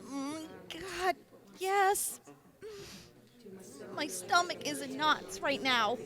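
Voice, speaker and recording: A woman's voice: sits high, very slow, pushed and bright, exaggerated voice. A woman playing a guarded young raider who talks in a casual, subdued way in an audio drama. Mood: anxious. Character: guarded young female raider, overboss's daughter.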